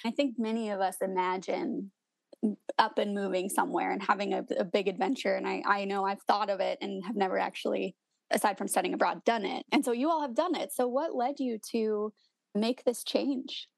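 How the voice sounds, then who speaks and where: A young woman hosting a podcast, friendly and laughing easily, introducing her guests with slow, careful phrasing. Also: medium pitch, fairly even intonation, creaky, no room sound. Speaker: podcast host.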